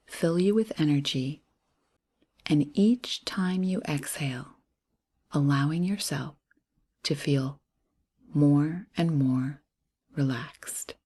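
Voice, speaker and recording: A low-voiced woman leads a guided meditation at a very slow pace. Her voice is soft and breathy, with airy edges to the words. She speaks gently and soothingly, leaving space between short phrases.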